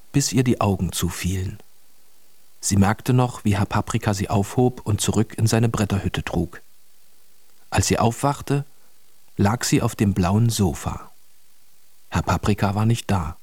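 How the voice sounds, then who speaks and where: A man's voice: fairly low pitch, a little mellow, breathy edge, dry recording. A man narrating a radio play as a scripted storyteller.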